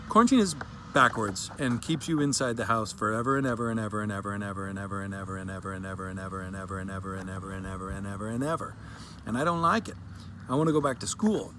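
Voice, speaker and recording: A man's voice: medium pitch, sharp tone. Man acting out a fed-up, earnest young girl. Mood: frustrated, pleading. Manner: childlike, emphatic, repetitive. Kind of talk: dramatic reading of a child's story. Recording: clearly noisy background.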